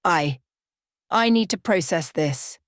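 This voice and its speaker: A woman voicing an awkward, uneasy man in a bedtime story, halting and stammering. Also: lowish voice, lively intonation, no echo, studio quality. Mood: shaken.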